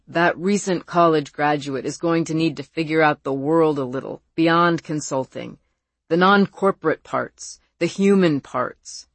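Audiobook role, read by a woman: withdrawn young man in grief, low and tight, bitterly emphatic, dry recording. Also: no echo. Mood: dismissive, frustrated. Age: young adult. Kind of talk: audiobook character voice.

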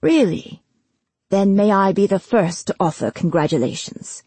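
A woman voicing a cool, sardonic young male lieutenant with a deadpan, controlled manner, as in an audiobook. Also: mid-range voice, normal speed, slightly rough, no room sound. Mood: mock-polite sarcasm.

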